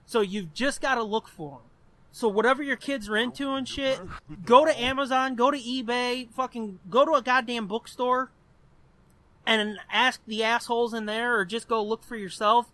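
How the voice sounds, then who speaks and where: A man's voice: very high-pitched, normal speed, rough recording. A man hosting a podcast in casual chat, explaining things in a blunt, sweary way. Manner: profane, blunt, animated explaining. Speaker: podcast host.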